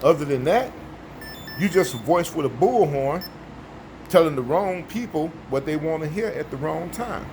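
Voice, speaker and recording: A man with a baritone voice giving an emphatic, preachy opinion monologue, repeating his points for effect. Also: expressive, normal speed, background noise. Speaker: vlogger.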